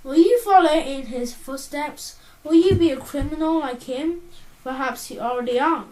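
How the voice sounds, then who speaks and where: A woman voicing a calm, pointed adult male psychiatrist who asks measured, probing questions, in a storybook reading. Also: high voice, voice pushed up, lightly acted. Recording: roomy, distant mic.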